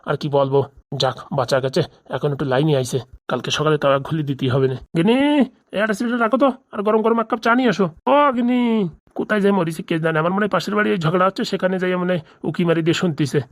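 A man's voice: on the high side, fairly even intonation, rapid-fire, no room sound, studio quality, lightly acted. A man voicing a grumbling, distrustful middle-aged father in a comic cartoon, with colloquial Bengali-accented rambling. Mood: bossy, exasperated.

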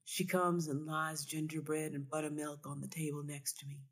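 Woman, low pitch, story reader, dramatic literary narration. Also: level tone, normal speed.

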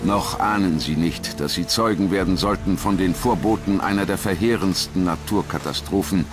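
A man narrates a documentary in a very deep voice. His delivery is scripted, measured and solemn. Music plays clearly behind him throughout.

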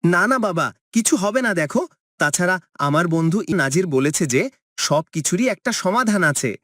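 A man's voice: high voice, lively intonation, normal speed, fairly bright tone, no room sound, studio quality. A man voicing a brave, hopeful young village son in a cartoon, speaking in an earnest, reassuring way.

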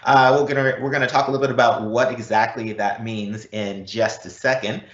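A man's voice: medium pitch, fairly even intonation, brisk, fairly bright tone. Man presenting, roomy echoing sound. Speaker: presenter, technical architect. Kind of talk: webinar presentation. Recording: roomy echo, slightly dull.

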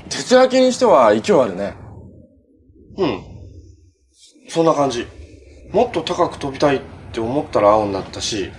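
An actor playing a cheerful, earnest young superhero in a live-action TV drama, polite and easygoing. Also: male voice, mid-range voice, a little hiss or hum, lightly acted. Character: cheerful, earnest young male hero who fights monsters.

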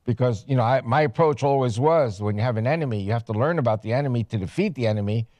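A man's voice: mid-range voice, a little mellow, dry recording. Older man, dry studio sound. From United States. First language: Spanish. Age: 70s. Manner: rambling, halting with many fillers. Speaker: guest. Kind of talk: interview.

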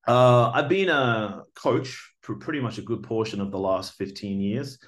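A man's voice: on the low side, measured pace. A man in his forties with an Australian accent, earnestly introducing himself for a teaching job, with many fillers and restarts, recorded on a webcam indoors. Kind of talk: video job application talk. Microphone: webcam video recording.

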